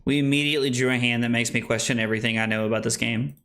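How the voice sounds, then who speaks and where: This is a young man in his late twenties who streams a card game and talks his plays through out loud. He is chatty and joking, and his pitch sits in an ordinary middle range. He keeps up a loose, casual flow of chat. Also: steady tone, rapid-fire. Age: 20s. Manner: chatty, joking, thinks aloud.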